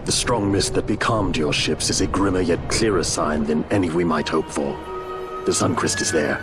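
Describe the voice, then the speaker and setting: An actor playing a stern, resolute warrior companion in a video game, speaking formally and with weight. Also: male voice, sits low, normal speed, over music, close to an everyday voice. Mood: grave, certain. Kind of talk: video game cutscene acting. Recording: game score behind dialogue.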